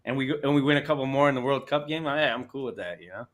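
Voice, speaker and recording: Bright-voiced rambling Canadian man. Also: medium pitch, animated, very fast. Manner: rambling, restarts often, laughs, swears casually. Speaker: sports show host. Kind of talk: casual sports chat with a co-host.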